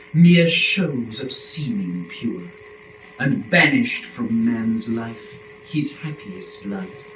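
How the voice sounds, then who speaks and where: A man gives a dramatic literary reading at a very slow, measured pace, breaking phrases with weighty pauses. His pitch sits in the middle range, and he sounds set back from the microphone in a reverberant room. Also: a bit bright. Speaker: performer and narrator of a poetry reading. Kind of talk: dramatic poetry recitation and spoken commentary. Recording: roomy live recording, distant from microphone.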